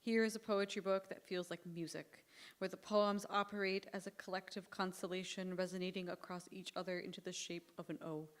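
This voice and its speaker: A woman's voice: on the low side, flat delivery, fairly quick. A woman presenting an award, reading a prepared citation formally into a podium microphone on an auditorium stage. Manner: reads formally, stumbles and laughs at hard words.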